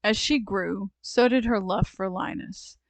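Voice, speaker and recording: A woman reads a children's story aloud in an expressive, deliberate way. She takes her time, speaking very slowly. The recording is dry and close, with no room sound. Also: mid-range voice, dry recording. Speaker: storybook reader.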